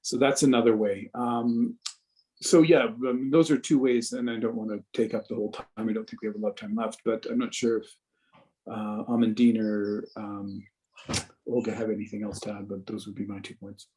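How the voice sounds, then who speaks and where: Man, academic presenter on a video call; calm, fluent explanation. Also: fairly low pitch, moderate pace, a little hiss or hum, low-quality recording. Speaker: research presenter.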